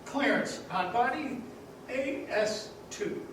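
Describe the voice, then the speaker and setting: A man's voice: very high-pitched, animated, takes it slowly, background noise, full character voice. A man playing an eager, innocent old apprentice angel in a live radio drama, earnest and chirpy. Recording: echoey theater stage from a distance.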